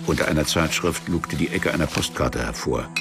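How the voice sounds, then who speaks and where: An actor narrating a literary radio play in a measured, matter-of-fact, sombre way. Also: male voice, a bass voice, creaky. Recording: music behind the voice. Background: music.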